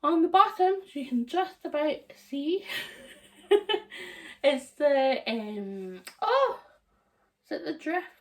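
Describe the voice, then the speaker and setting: Hoarse, slow-talking woman vlogger. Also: sits high, very animated, a bit bright, far from the mic. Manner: chatty, laughs often, meandering. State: hoarse, croaky throat. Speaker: sewing channel host. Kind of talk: casual chat to camera. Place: living room indoors.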